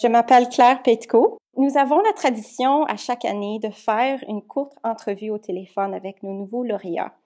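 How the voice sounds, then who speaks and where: A woman's voice: a little high, moderate pace, few pauses, mellow. A woman conducting a polite telephone interview in a courteous, formal and slightly hesitant manner.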